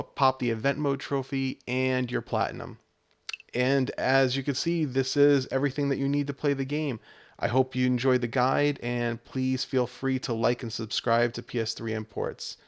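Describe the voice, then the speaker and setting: A man's voice: medium pitch, gravelly. A man giving step-by-step walkthrough commentary as the host of a video game guide. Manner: explanatory, step-by-step instruction. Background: game music.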